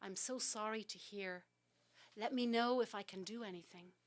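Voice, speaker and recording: A woman poet reading her poems aloud and explaining them, soft and halting between lines and more expressive in the verse. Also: mid-range voice. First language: English. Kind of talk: poetry reading on a talk show.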